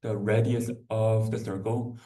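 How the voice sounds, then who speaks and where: Young male student presenter, dark low voice, hesitant and filler-heavy, picked up from a distance. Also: little pitch movement. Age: young adult. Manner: halting, repetitive, heavy use of "like". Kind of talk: informal conference presentation. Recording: distant microphone.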